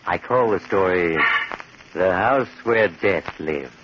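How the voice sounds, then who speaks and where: A sinister old teller of eerie tales with a very deep voice. He narrates with slow, theatrical menace in a 1940s radio horror style. The recording is muffled and clearly noisy. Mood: ominous. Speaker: host and narrator of a horror anthology.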